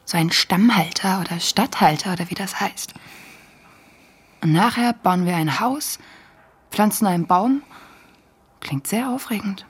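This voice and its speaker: An actress playing a soldier's young, forthright lover in an intimate radio drama, with a breathy, husky voice. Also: female voice, mid-range voice, lively intonation, a little slow, pauses often. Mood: teasing.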